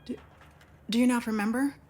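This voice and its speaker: An actress playing a serious, composed female doctor in a video game, speaking in a formal, measured, clinical manner. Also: fairly high pitch, fairly even intonation, a little mellow, a little hiss or hum, close to an everyday voice. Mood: grave, firm.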